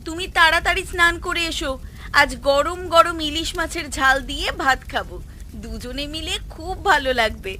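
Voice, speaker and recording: A woman's voice: sits high, normal speed, bright, forceful tone, slight background noise. A woman voicing a spiteful, food-loving village wife in a Bengali cartoon, coy and gleeful as she exclaims. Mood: gloating pleasure.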